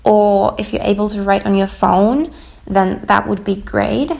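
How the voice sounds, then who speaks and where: A young woman hosting a podcast episode, gently guiding listeners through a reflection exercise while hoarse and losing her voice, with many likes and ums. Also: mid-range voice, flat delivery, unhurried. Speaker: podcast host.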